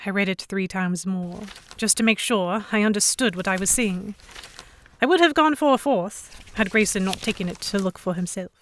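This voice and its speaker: A woman playing an anxious, abducted young heroine, narrating a pirate romance audio drama in a measured, introspective, literary manner. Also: mid-range voice, a little hiss or hum, natural voice. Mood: uneasy. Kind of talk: scripted first-person dramatic narration.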